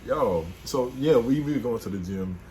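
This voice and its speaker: A man's voice: medium pitch, lively intonation, moderate pace. A man, chatting casually and goofily on a couple's vlog. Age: 20s. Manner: laid-back, goofy, hypes himself up. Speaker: vlogger. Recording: noisy room.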